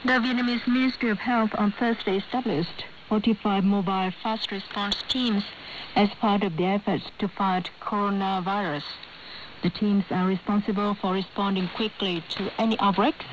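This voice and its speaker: Over a shortwave broadcast with faint noise, a woman reads the news. Her pitch sits in the middle range for a woman. She delivers scripted copy in the role of a radio newsreader. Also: steady tone, moderate pace, poor audio.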